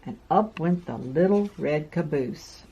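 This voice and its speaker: A woman in her sixties reading a picture book to young children with deliberate, sing-song emphasis. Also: low-pitched, animated, mellow, husky, dull audio. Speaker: children's storyteller, host. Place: carpeted room at home.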